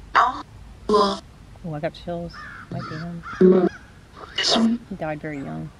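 A woman's voice: low voice, takes it slowly, gravelly, background noise. A middle-aged woman co-hosting a ghost hunting vlog, chatting casually and putting questions to spirits outdoors. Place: outdoors in an open rural cemetery.

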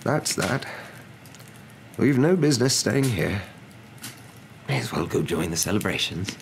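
A man voicing a calm, wise elderly wizard in a storybook, with a measured, drawn-out delivery. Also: on the low side. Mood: resigned. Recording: noticeable background noise.

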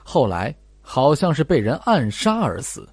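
A man reading expressive scripted narration as an audiobook narrator. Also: mid-range voice, a little slow, gravelly, slightly rough, no echo.

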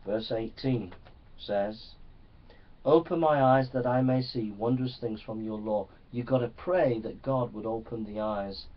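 A man's voice: on the low side, a little slow. Man preaching, distant dull recording. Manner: earnest, exhorting, often seeking assent. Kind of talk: Bible teaching sermon to young people. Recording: distant microphone, slightly dull sound.

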